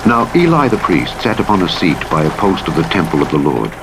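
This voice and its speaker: A deep-voiced man reads scripture with solemn, measured storytelling delivery. His intonation rises and falls widely, giving the text drama. A noisy rumble of vehicle and rain-like game sound runs behind him. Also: very animated. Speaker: audiobook narrator. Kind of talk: scripted dramatic Bible reading. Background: truck simulator game driving noise.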